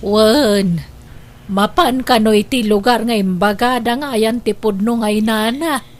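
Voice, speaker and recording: A woman's voice: on the high side, measured pace, natural voice. A woman playing a questioning, protective grandmother in a radio drama. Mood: worried.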